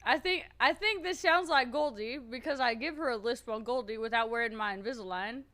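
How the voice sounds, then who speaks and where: A woman streamer chatting loudly and nervously to her viewers, thinking out loud and swearing freely. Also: fairly high pitch, fairly quick. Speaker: streamer playing a roleplay character. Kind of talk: casual chat to stream viewers.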